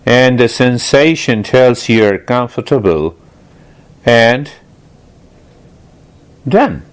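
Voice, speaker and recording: A man teaching guided meditation in a deliberate, explanatory manner, repeating key phrases and posing questions as he instructs. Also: mid-range voice, animated, clear, resonant tone.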